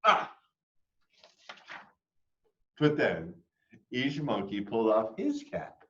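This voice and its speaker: An American man reading a picture book aloud to children with dramatic, deliberate delivery. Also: mid-range voice, wildly swooping pitch, bright, forceful tone, highs cut off. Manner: dramatic, deliberate storytelling with questions to listeners. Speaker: school read-aloud storyteller.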